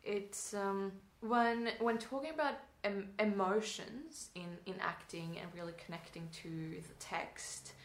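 A woman's voice: mid-range voice, a little slow, few pauses, roomy sound. A young Swedish woman acting student with a Swedish accent, talking casually and thoughtfully in an interview, with restarts and laughing asides. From Sweden.

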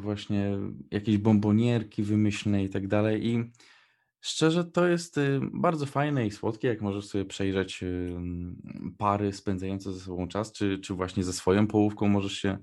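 A man's voice: lowish voice, darkish tone. A young man co-hosting a radio show, reading prepared notes and chatting casually. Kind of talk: scripted narration and casual radio chat.

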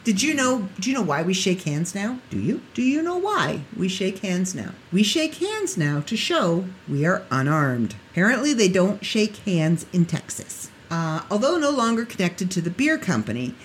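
A woman hosting a casual solo podcast, chatty and joking, her voice getting a little hoarse. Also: mid-range voice, sing-song, normal speed, few pauses, slight background noise. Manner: chatty, jokey, laughs at own asides. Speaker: podcast host.

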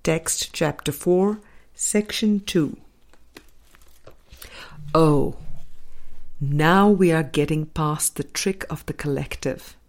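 A woman narrates a spiritual audiobook in a slightly low, mellow voice. She takes it very slowly, setting out each short phrase deliberately and leaving space between them. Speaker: audiobook reader.

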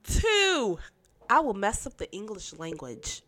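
A woman's voice: mid-range voice, wildly swooping pitch, slow pace, fairly bright tone. A young woman hosting a casual political podcast while stuffy and nasal from a cold, talking bluntly and with sass. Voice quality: nasal, congested. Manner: blunt, sassy, emphatic. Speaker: podcast host.

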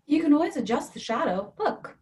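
A woman reading a calm, matter-of-fact person. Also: sits high, a little fast. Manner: matter-of-fact, plain, flatly agreeing. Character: calm adult person who rearranged room. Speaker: actor reading first character. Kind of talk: readers theatre play reading.

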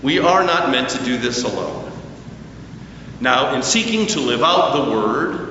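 A man preaches a sermon in a somewhat high-pitched voice. He phrases deliberately, leaving emphatic pauses. The recording is distant and strongly echoing, as in a large hall. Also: a bit bright. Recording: very echoey hall, distant, slightly dull, faint noise.

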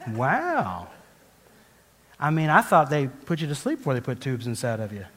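A man of middling pitch gives a conversational sermon as a guest preacher, telling a personal story. He rambles and restarts often and pokes fun at himself. His voice carries the long reverb of a large church hall. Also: very animated, normal speed, fairly bright tone. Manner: rambling, self-deprecating, frequent restarts and laughs.

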